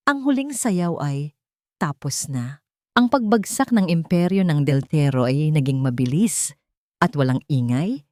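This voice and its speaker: A woman narrating a scripted drama in the first person, with deliberate, dramatic short phrases. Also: sits low, darkish tone, no echo, studio quality. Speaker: story narrator.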